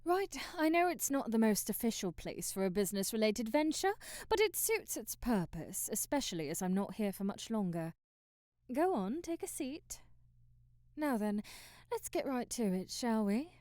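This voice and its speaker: A woman's voice: on the high side, very animated, normal speed, a little mellow, no room sound, clean studio sound. A woman playing an eccentric traveling magician, brash, teasing and flirtatious, in an intimate, theatrical ASMR roleplay. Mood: brisk, challenging. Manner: theatrical, teasing, drawling asides and murmurs.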